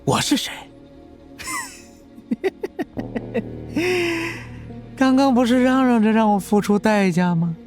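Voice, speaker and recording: 一名男演员在动画剧中饰演阴险残忍的疯狂科学家反派，说话夸张拖腔，带着戏谑的嘲弄。. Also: male voice, sits high, a little mellow, soft background music, natural voice. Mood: mocking amusement. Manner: theatrical, drawn-out taunting with cackles. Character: sinister sadistic mad scientist villain, gleeful and mocking. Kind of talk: animated audio drama acting.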